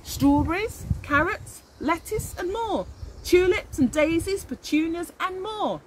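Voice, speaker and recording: A woman's voice: very high-pitched, unhurried. A middle-aged woman, an early years teacher, reading a picture book aloud expressively and speaking to children. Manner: animated, addressing children with questions. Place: outdoors in a leafy garden. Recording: faint outdoor background noise.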